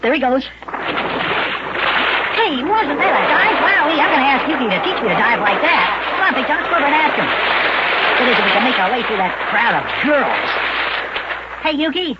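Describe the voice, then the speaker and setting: An actor voicing an excitable, whiny little elf boy with a small boyish voice in a children's radio serial. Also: high-pitched, brisk, long pauses, over a noisy crowd, very noisy. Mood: amazed, eager. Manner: exclamatory, whiny when put out. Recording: muffled old radio recording with loud music.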